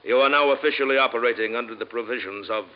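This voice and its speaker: A man's voice: medium pitch, very fast, close to an everyday voice. A man playing a solemn Martian council official, formal and ceremonious, in old-time radio style. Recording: dull, noisy old radio broadcast.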